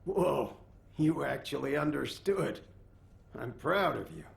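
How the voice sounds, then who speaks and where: A man playing a gruff, teasing old military quartermaster in a video game, with a gravelly voice and wry grumbling. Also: medium pitch, expressive, slow, reverberant, full character voice.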